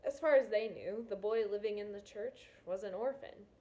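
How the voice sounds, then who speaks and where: A woman's voice: medium pitch, normal speed, dark tone. A woman teacher reading a novel aloud to students, with casual, encouraging asides. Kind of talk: read-aloud of a children's novel with explanatory asides.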